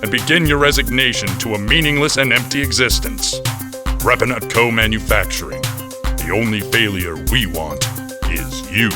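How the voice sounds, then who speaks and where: A man playing a cynical, contemptuous recruitment announcer in a parody commercial, with a polished, deadpan sales delivery. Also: mid-range voice, normal speed, lightly acted. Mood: mock-upbeat contempt. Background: music bed.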